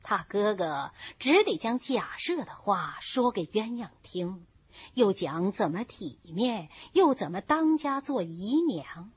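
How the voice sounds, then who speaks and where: Woman narrating an old novel, breathy, very expressive up and down, slow. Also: mid-range voice. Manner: measured, expressive storytelling. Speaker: audiobook reader. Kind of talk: classical novel reading. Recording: dull lo-fi recording.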